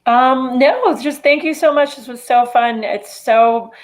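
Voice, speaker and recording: A woman's voice: high voice, a little slow. A woman writer chatting casually as an interview guest, informal and peppering her talk with "like".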